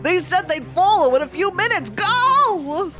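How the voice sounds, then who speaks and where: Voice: very high-pitched, sing-song, unhurried, soft background music, heavily acted. A woman voicing a small frantic critter that urges others to flee from a human. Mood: panicked. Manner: urgent, shouting warnings.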